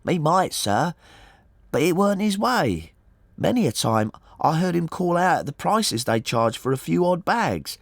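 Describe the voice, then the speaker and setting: A man's voice: a little high, big pitch swings, measured pace, gravelly, dry recording, studio quality, pitched above the performer's own voice, heavily acted. A man reading an audiobook, voicing an anxious, talkative working-class married woman who speaks colloquially and deferentially. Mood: worried, chatty. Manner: chatty, colloquial, deferential, saying sir often. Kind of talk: audiobook character voice.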